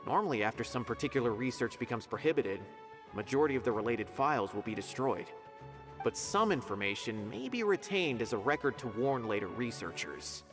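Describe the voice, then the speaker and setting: A man's voice: medium pitch, very animated, fairly quick, lightly acted. A man playing a polite, earnest scholar in a fantasy video game, explaining things courteously. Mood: informative. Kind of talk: scripted game dialogue. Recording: game audio over music.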